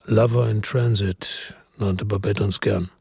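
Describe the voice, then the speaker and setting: An actor playing a world-weary police chief, narrating in a slow, measured, tired way in a radio drama. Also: male voice, fairly low pitch, steady tone, vocal fry, a little mellow, husky, no room sound, clean studio sound, heavily acted. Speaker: first-person narrator.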